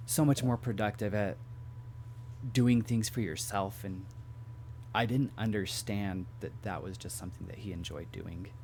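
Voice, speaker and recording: A hoarse man hosting a podcast from home, talking casually and reflectively and asking questions. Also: lowish voice, unhurried, a little mellow, slight background noise. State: hoarse, clearing his throat. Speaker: podcast host. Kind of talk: casual conversation.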